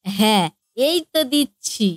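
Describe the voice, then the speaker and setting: A woman's voice: mid-range voice, lively intonation, no echo, clean studio sound, close to an everyday voice. A woman voicing a naive, eager young daughter-in-law in a family comedy cartoon, speaking in a simple, earnest way. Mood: hopeful. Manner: slow, simple-minded, earnest delivery.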